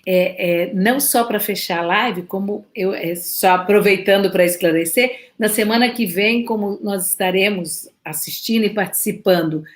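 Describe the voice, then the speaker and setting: A woman's voice: mid-range voice, normal speed, hardly any pauses. A woman coach with a Brazilian Portuguese accent, posing reflective coaching questions on a live webinar. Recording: roomy echo.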